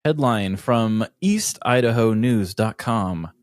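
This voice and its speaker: A man's voice: on the low side, animated, slow pace, no echo, clean studio sound. A man hosting a podcast, reading out a news story and chatting casually about it. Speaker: podcast host.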